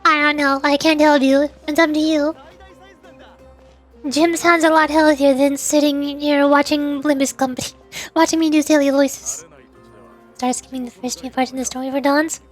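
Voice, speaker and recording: High-pitched playful woman streamer. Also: flat delivery, moderate pace, soft background music. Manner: playful, theatrical. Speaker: vtuber streamer. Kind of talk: casual livestream chat over gameplay.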